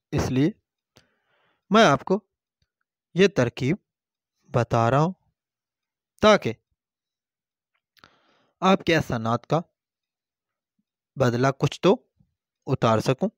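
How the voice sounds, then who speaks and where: A man's voice: a little high, dry recording, clean studio sound. A man telling a folk tale and voicing a sly swindler who poses as a humble, grateful traveller and explains himself plausibly.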